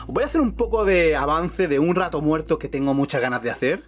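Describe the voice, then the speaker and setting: A man's voice: fairly high pitch, lively intonation, quick pace, faint music behind. Chatty Spanish man with a La Mancha accent. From Catalonia, Spain. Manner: chatty, enthusiastic, addresses viewers directly. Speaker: YouTube host. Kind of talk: casual room tour vlog. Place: home room full of shelves, indoors.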